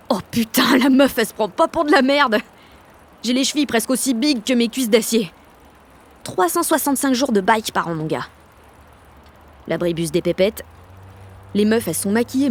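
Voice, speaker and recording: A sullen French girl of sixteen, played by a woman, narrates an audio drama about her own life. Her delivery is blunt, slangy and sardonic, with frequent swearing, at a medium pitch. Outdoor ambience and traffic sit behind the voice. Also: close to an everyday voice. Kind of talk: first-person audio fiction narration in teen slang.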